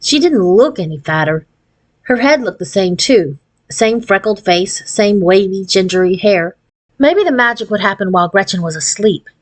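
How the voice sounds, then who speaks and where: Give the voice of a woman narrating a children's novel aloud. Her pitch sits in the middle range, and her delivery is expressive storytelling. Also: moderate pace, rough recording. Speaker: audiobook reader.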